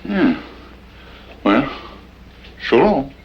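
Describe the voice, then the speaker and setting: An actor playing a folksy, nosy, chattering rancher with an American Western drawl and a wry, rambling manner in an old-time radio western. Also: male voice, medium pitch, sing-song, drawn-out words. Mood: curious, hedging. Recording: muffled, noisy old-time radio recording.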